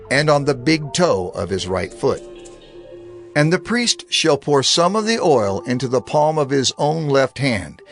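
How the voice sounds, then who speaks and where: Expressive male scripture narrator. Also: a little high. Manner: steady, expressive, reverent reading.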